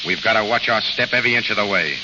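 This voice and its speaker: Man playing a low-voiced, commanding American gang boss. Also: fast, close to an everyday voice. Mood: stern, commanding. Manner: terse, slangy, bossy. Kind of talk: 1940s radio drama acting. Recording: muffled, noisy, reverberant old radio broadcast.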